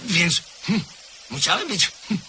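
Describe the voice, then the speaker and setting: An actor playing a nervous, guilt-ridden gentleman in a radio drama, speaking anxiously and breathlessly. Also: high voice, sing-song, unhurried, full character voice. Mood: fearful. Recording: old radio play recording with light hiss.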